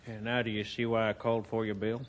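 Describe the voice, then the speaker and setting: An actor playing a folksy, concerned small-town sheriff in an old radio adventure drama, plain-spoken and informal. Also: male voice, lowish voice, flat delivery, quick pace, slight background noise, natural voice.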